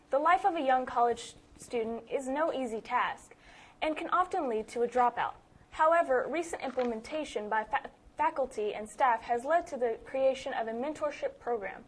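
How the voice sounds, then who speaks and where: Brief: female student anchor, high voice, emphatic scripted read. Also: normal speed. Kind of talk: scripted news reading.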